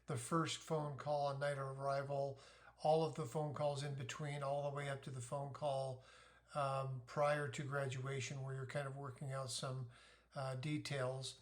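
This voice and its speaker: A middle-aged man giving an informal explainer as a parent sharing advice, deliberate and methodical in how he lays things out. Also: mid-range voice, flat delivery. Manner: deliberate, methodical, poses questions then answers them.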